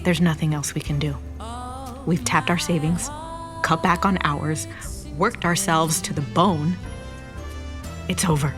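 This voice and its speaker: A woman's voice: deep voice, steady tone, measured pace, long pauses, over music. A woman playing an exhausted restaurant owner in a romantic audio drama, earnest, emotional and defensive. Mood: weary, defeated.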